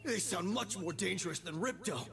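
A man's voice: sits high, lively intonation, moderate pace, gravelly. An actor voicing a nervous, fidgety young male cheetah in a video game. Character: jittery young male cheetah, clumsy worrier. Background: game music.

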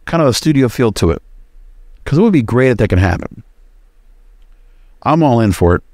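A man's voice: mid-range voice, very animated, normal speed, darkish tone, dry recording, studio quality. A man hosting a solo podcast, talking casually in a rambling, conversational way. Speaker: podcast host.

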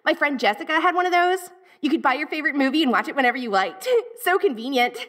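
Woman acting a high, bright dorky valley-girl ghost. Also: animated, fast talker. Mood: curious, incredulous. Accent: American valley girl. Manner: gushing, hesitant, slangy valley-girl talk. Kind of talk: audio roleplay acting, speaking directly to the listener.

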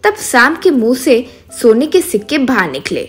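A woman's voice: a little high, sing-song, moderate pace, husky, faint music behind. A woman narrating a scripted storybook tale as the narrator.

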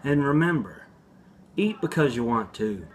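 A man in his forties hosting a casual cooking demo in his home kitchen, talking slowly and deadpan with many hesitations. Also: mid-range voice, a little hiss or hum. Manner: slow, rambling, deadpan, with hesitations. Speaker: home cooking video host. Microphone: phone camera on the counter.

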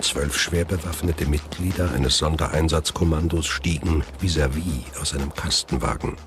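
A man's voice: a bass voice, moderate pace, background noise. A man narrating a scripted German thriller audio drama. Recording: music behind the narration.

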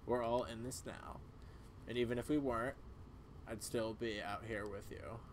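A man voicing a protective, gently exasperated animal friend in casual video game dialogue. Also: medium pitch, fairly even intonation, moderate pace, close to an everyday voice. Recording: clearly audible background noise.

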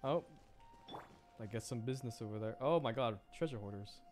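A woman's voice: a bass voice, a little fast, darkish tone, faint music behind. A woman streamer giving casual, amused game commentary, reacting aloud as she plays. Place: indoor stream voice-over with game music behind.

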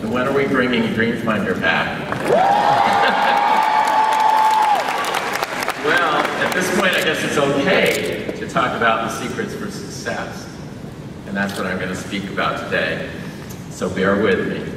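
A man's voice: on the low side, expressive, unhurried, long pauses. A man in his sixties from Southern California giving an acceptance speech at a podium, with self-deprecating humor. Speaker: award recipient. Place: large convention hall stage. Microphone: podium mic. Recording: roomy hall from far off, crowd noise.

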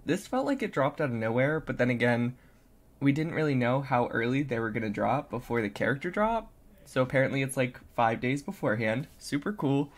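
An excitable content creator giving casual, gushing, slangy reaction commentary on a game. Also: mid-range voice, brisk. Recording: music behind the voice.

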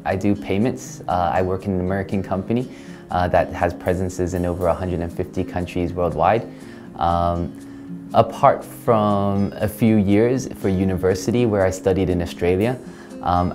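Man from Hong Kong, deep voice, earnest interview talk, guitar music underneath. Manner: earnest, steady, filler-laden answers. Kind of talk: casual interview answers. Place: office room indoors. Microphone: lapel mic. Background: soft guitar music.

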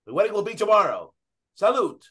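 A man's voice: high-pitched, sing-song, brisk, pushed and bright, slightly rough, heavily acted. A middle-aged man playing a joyful, oblivious, newly engaged uncle making toasts in a screenplay table read. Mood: joyful, proud. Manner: grandly toasting. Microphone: video call microphone.

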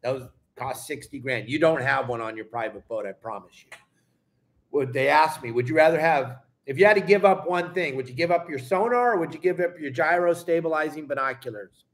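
Middle-aged man, seasoned fishing guide, casual and emphatic lesson to camera, slightly high voice, recorded at home. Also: a little fast. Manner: folksy and emphatic, addresses viewers as gang. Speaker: fishing guide and boat captain. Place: indoor room at home.

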